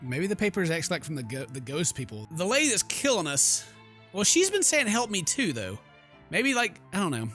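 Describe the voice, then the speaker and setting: A man's voice: high-pitched, wildly swooping pitch, fairly quick, a bit bright. A man hosting a casual game playthrough, joking and exclaiming as he comments and reads text aloud. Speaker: gaming video host. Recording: faint game music behind the voice.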